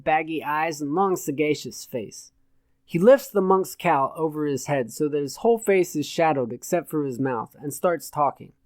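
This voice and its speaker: A man narrating satirical fiction from a script in a measured, literate way. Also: on the high side, darkish tone, dry recording. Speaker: audiobook narrator.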